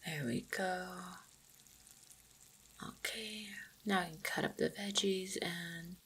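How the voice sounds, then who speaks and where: A woman playing a gentle, devoted orc hunter in a roleplay audio drama, speaking tenderly and reassuringly with a husky, creaky voice. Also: on the low side, fairly even intonation, slow pace, noisy background. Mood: musing. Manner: tender, reassuring, softly spoken. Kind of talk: roleplay audio drama, intimate monologue to the listener.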